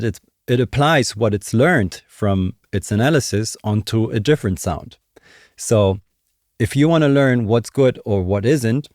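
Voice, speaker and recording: Low-voiced man explaining, very dry sound. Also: darkish tone, no room sound. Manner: conversational, explanatory, thinking aloud. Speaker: music production tutor. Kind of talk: casual video tutorial. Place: small indoor home studio. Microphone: desk microphone close up.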